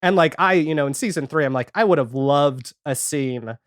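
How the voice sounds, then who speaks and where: A man with a fairly high voice talks as a guest in a casual conversation about pop culture. He is animated and informal, slipping into imagined remarks as he argues. The sound is close and dry, with no room on it. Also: normal speed, no room sound. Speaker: podcast guest.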